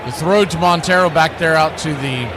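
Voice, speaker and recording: Male baseball stream announcer, slightly high voice, chatty and blunt between pitch calls, loud background noise throughout. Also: moderate pace. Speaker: play-by-play baseball broadcaster and channel host. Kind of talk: live baseball play-by-play mixed with casual chat.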